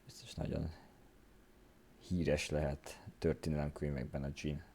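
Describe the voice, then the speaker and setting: Male Hungarian streamer, extremely low voice, casual gameplay remarks over faint game noise. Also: flat delivery, normal speed, darkish tone. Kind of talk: casual play-along commentary.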